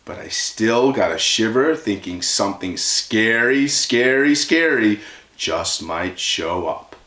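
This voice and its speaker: A man voicing a timid, excitable pet hamster who tells a children's story. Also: lowish voice, slow, reverberant, heavily acted. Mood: spooked. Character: timid, excitable pet classroom hamster narrating, squeaking replies.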